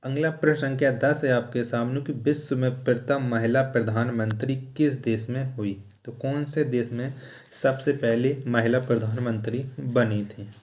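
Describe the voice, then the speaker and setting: Male exam tutor, mid-range pitch, methodical question-and-answer delivery with repetition. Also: steady tone, moderate pace, a little mellow. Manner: repetitive, asks short questions then repeats answers. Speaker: teacher for competitive exam general knowledge. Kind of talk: quiz-style lecture, reading questions and answers.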